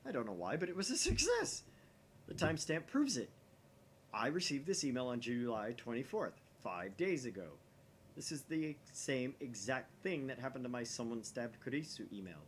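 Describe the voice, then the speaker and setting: A man voicing a grandiose young mad scientist in a visual novel, declaiming theatrically with pompous, dramatic pauses. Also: medium pitch, big pitch swings, slight background noise, close to an everyday voice. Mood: triumphant.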